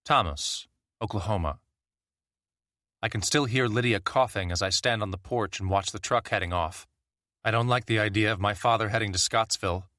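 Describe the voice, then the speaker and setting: A man reading a post-apocalyptic audiobook as its first-person narrator, in a steady, plain storytelling voice. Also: fairly low pitch, no echo, studio quality.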